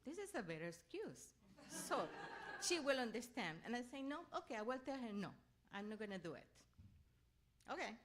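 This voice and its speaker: Bright-voiced woman with a Venezuelan Spanish accent. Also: fairly high pitch, moderate pace. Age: middle-aged or older. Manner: animated storytelling, often acting out her own reactions; laughs readily while talking. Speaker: guest speaker, reverend. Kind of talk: personal inspirational talk to a congregation.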